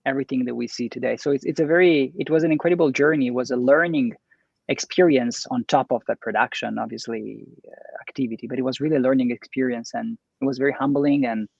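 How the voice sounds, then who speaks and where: Italian-accented man, animated guest. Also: mid-range voice, gravelly, no echo. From Italy. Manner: animated, enthusiastic, quick to praise. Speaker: guest entrepreneur. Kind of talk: casual interview chat. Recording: dull lo-fi dry recording.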